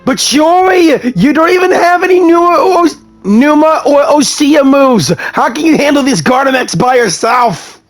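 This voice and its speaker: A brash young game heroine with a high voice that swings widely. She is hot-headed and scrappy, shouting her lines with feisty force. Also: expressive, measured pace, hardly any pauses, faint music behind. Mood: worried urging. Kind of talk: video game voice acting.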